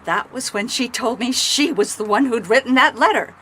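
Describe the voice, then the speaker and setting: An actress playing a guarded, nervous office worker in a radio mystery, speaking carefully and defensively. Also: female voice, fairly high pitch, animated, normal speed, close to an everyday voice. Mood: reluctant, earnest. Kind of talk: radio mystery drama acting. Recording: noisy radio drama recording.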